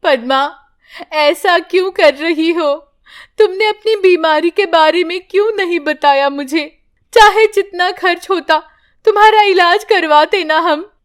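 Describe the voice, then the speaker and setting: A woman voicing an old grandmother, pleading and slow, high-pitched. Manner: pleading, lamenting.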